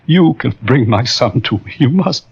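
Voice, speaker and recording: An actor playing a grieving older widower in a 1940s radio thriller, clipped and insistent. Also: male voice, medium pitch, expressive, normal speed, vocal fry, husky, exaggerated voice. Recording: dull 1940s radio broadcast.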